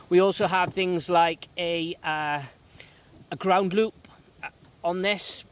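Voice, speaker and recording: Higher-pitched man explaining technical work. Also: fairly bright tone. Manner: explains step by step with halting pauses. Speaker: gate installer and repair technician presenting. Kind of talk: informal explainer vlog from a job. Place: outdoors beside an electric gate.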